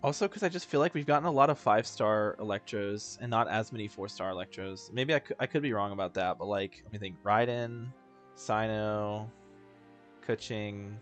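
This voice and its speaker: Young male streamer, chatty and laughing, lowish voice, game music faint underneath. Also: moderate pace. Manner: chatty, laughs, thinks aloud. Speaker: gaming streamer. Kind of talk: casual reaction commentary.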